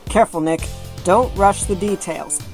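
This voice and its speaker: A woman's voice: mid-range voice, darkish tone, faint music behind, lightly acted. Careful, supportive female legal partner, acted by a woman. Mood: cautioning. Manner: measured, advising. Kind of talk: scripted fan audio drama acting.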